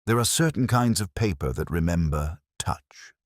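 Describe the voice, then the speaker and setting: A man playing a brooding, world-weary police inspector who narrates his case in a measured, sombre, wry literary style. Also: low voice, lively intonation, no echo, studio quality. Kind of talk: literary first-person detective drama narration.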